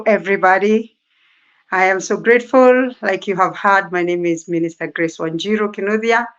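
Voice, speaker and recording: An earnest woman with a Kenyan accent tells her story at an ordinary middle pitch, circling back over the same phrases. The recording is dull and lo-fi. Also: a bit bright, clear, resonant tone. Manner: earnest, repetitive, fervent. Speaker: guest. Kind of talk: interview storytelling. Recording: muffled lo-fi audio.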